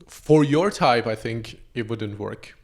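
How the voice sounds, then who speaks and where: A man's voice: mid-range voice, animated, slow pace. Guy whose first language is persian, chill podcast chat, lots of yeahs. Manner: agreeable, answers with short yeahs, restarts sentences. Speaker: podcast co-host. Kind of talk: casual chat.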